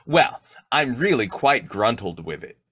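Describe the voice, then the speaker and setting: A man's voice: mid-range voice, very animated, unhurried, fairly bright tone, no room sound, studio quality. A man acting a snoopy, folksy husband who cracks slangy jokes in an old-time radio comedy. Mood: curious, pleased. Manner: folksy, jokey, slangy delivery.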